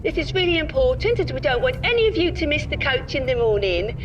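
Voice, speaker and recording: An actress in an audio play voicing a bossy, brisk coach tour guide making officious announcements to passengers. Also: female voice, sits high, animated, background noise. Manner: officious, strict, emphatic. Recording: distant, muffled, crowd behind.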